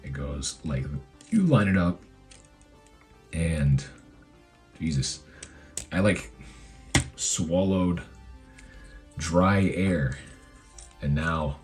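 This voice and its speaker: Man, very deep, hoarse, casual rambling toy review, lots of fillers, faint music underneath. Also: animated, very slow, pauses often, a little mellow. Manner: rambling, filler-heavy, self-correcting.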